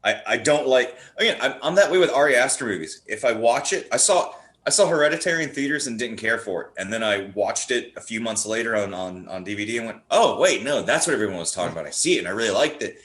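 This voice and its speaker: A man's voice: medium pitch, animated, fast, hardly any pauses, a bit bright. A man chatting casually about films in an opinionated, emphatic way. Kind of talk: casual film chat.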